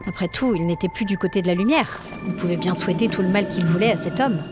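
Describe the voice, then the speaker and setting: A woman's voice: fairly low pitch, fast. A woman, giving dramatic scripted narration of a supernatural story. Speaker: narrator and podcast host. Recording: music bed under narration. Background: music.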